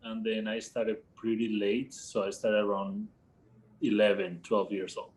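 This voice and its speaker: A man from Colombia, a professional ballet dancer, answering in a relaxed way with some ums. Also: lowish voice, unhurried, rough recording. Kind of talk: casual interview answers.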